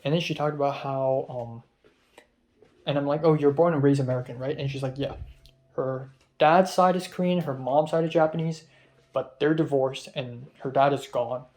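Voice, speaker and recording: A man's voice: mid-range voice, steady tone, moderate pace, darkish tone. A young man from Hong Kong, a film student, rambling through a casual vlog recap of his day with many ums and restarts. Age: young adult.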